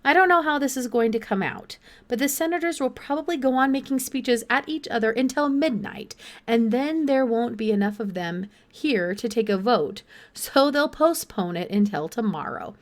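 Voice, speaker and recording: Woman playing a gentle, worried male doctor. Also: a little high, animated, normal speed, natural voice. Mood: resigned. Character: kindly, worried male doctor reassuring a child. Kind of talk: children's audiobook character voice.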